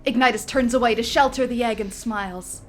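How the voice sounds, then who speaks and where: A woman's voice: a little high, brisk, faint music behind. A woman narrating a film script theatrically, with a comedic dramatic flair. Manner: theatrical, breaks easily into giggles.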